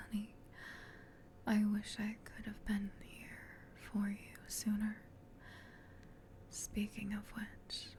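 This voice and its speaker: A woman voicing a gentle, protective female demon in a soft, soothing whisper for an ASMR comfort roleplay. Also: medium pitch, slight background noise, lightly acted. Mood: sympathetic. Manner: soothing, tender, reassuring, unhurried.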